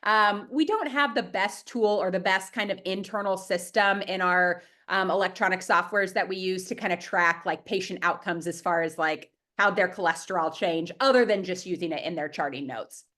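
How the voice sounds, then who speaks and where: A woman's voice: fairly low pitch, normal speed, hardly any pauses, bright, forceful tone. A woman with a head cold, sounding a little sniffly, talking enthusiastically and openly as a dietitian guest on a video call. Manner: enthusiastic, open and talkative. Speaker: dietitian running private practices, guest. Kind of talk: interview answers over video call.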